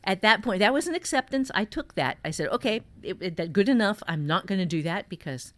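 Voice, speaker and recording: A woman's voice: medium pitch, expressive, fairly quick, fairly bright tone, dry recording. A woman novelist chatting casually as she gives blunt, emphatic, sometimes sweary advice on writing fiction. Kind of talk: casual podcast conversation giving writing advice.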